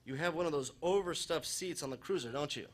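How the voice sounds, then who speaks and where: A man's voice: on the high side, quick pace, natural voice. A man playing a wisecracking, mock-serious radio host brother who banters in a live comedy sketch. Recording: live stage recording with background noise.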